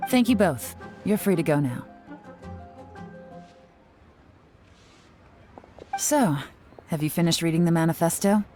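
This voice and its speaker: A woman playing a cool, composed spymaster in a video game, measured and authoritative. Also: lowish voice, expressive, mellow, soft background music, close to an everyday voice.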